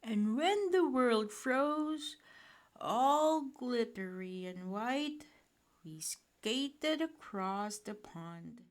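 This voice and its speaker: A woman voicing a kindly old grandfather mouse in a storybook, fond and unhurried as he reminisces. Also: fairly high pitch, expressive, voice pushed up. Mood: fond, reminiscing.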